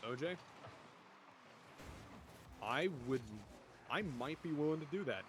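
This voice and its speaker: A young man with a deep voice streaming esports commentary from home, chatting with viewers and calling plays. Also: expressive, slow pace, noisy background. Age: young adult. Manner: rambling, chatty, restarts often, bursts louder on goals. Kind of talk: casual solo game commentary and chat reading. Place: home room.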